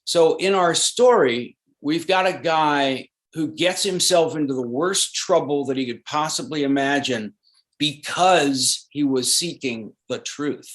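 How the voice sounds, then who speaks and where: An actor being interviewed, talking in a rambling, thoughtful way with many restarts. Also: male voice, fairly high pitch, a little slow, fairly bright tone. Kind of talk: casual interview.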